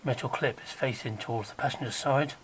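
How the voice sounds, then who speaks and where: A man with a hoarse, croaky voice talks viewers through a car repair in a relaxed, chatty way. His pitch sits mid-range for a man, and he often drops to a low mutter while his hands are busy. Faint noise hums in the background. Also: a little fast. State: croaky, recovering from illness. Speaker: DIY car repair vlogger. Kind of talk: casual hands-on how-to tutorial.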